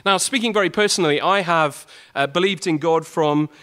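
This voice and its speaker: A man's voice: fairly high pitch, a little fast, a bit bright. A young man, a student, giving a prepared talk to fellow students in an earnest style and building his points with rhetorical questions. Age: young adult. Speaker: student speaker.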